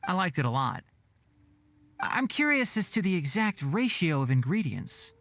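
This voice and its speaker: An actor playing a studious young man who reasons out loud in a measured, analytical way in a game. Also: on the high side, big pitch swings, vocal fry, a little hiss or hum, heavily acted. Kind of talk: game voice acting.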